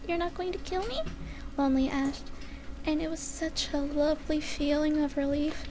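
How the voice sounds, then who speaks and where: High-voiced woman reading a story. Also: dark tone, poor audio. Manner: deliberate, careful enunciation. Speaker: fan fiction reader.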